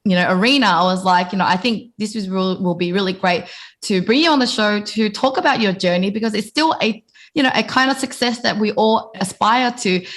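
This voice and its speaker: A woman hosts an interview show. She introduces the guest from a script, then chats and asks questions in a loose, rambling way. Her voice sits at a middle pitch. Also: a little fast, fairly bright tone. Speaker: podcast host. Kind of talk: casual interview hosting.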